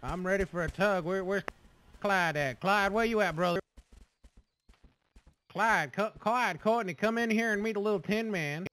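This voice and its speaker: A man's voice: high voice, moderate pace, pauses often, fairly bright tone. A man roleplaying an eager, dim, crude rural brother who rambles.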